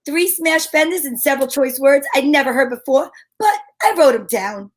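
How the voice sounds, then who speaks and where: A woman's voice: high-pitched, animated, moderate pace. A woman playing a wisecracking, confident female private eye who narrates her case in a wry old-time radio style. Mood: dry, storytelling.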